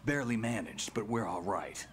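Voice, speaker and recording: Brief: actor voicing a terse, world-weary game soldier, deep and gruff. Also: male voice, expressive, normal speed, a little hiss or hum, close to an everyday voice. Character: weary gravel-voiced veteran male soldier, terse.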